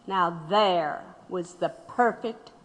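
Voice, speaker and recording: A woman playing a defiant, sardonic dissident under interrogation in an audio drama, mocking and deliberate. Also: fairly low pitch. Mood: bitterly sardonic. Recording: long hall-like reverb.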